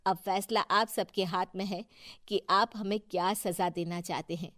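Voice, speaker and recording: A woman's voice: medium pitch, steady tone, a little slow, a bit bright, dry recording, studio quality, lightly acted. A woman voicing an earnest, indignant wife and councillor standing up to wrongdoing in a moral story. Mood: earnest, resolute. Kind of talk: acted moral-story dialogue.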